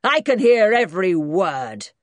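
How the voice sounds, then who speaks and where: A woman voicing a cantankerous old great-grandfather in a storybook, gruff and bellowing. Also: on the low side, animated, takes it slowly, no room sound, studio quality. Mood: angry.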